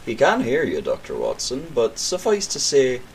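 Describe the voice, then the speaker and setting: A man's voice: mid-range voice, huge pitch swings, normal speed, creaky, a little hiss or hum, poor audio. A man playing a cold, gloating criminal mastermind in an audio drama, mocking, theatrical and condescending. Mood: smug.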